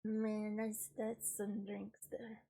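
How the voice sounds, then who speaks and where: A woman's voice: mid-range voice, completely flat delivery, takes it slowly, vocal fry, dark tone. A young woman streamer with a stuffed-up, congested voice, chatting casually and laughing at herself. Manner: chatty, self-deprecating, giggly asides. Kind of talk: casual chat.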